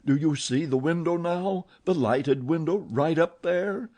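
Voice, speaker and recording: A man's voice: mid-range voice, very animated, unhurried, mellow, slightly rough. A man voicing an angry, jealous masked man who snarls commands and mockery in an audiobook. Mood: menacing. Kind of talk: audiobook character voice.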